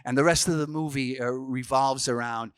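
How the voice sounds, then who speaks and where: A man's voice: medium pitch, steady tone, normal speed, clean tone, no room sound, clean studio sound. A man, a Franciscan priest telling stories in a casual youth workshop talk, full of restarts and fillers. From Boston, Massachusetts. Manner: chatty storyteller, frequent restarts and fillers.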